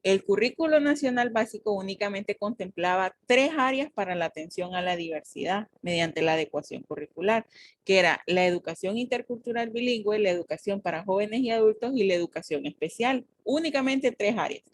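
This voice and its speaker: Una mujer hondureña dando clase en la universidad, con voz de altura media. Aclara conceptos con paso firme y retoma lo que dijeron sus estudiantes para precisarlo. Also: female voice, mid-range voice, expressive, brisk. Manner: explanatory, corrects and clarifies. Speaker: teacher. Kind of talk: university class discussion.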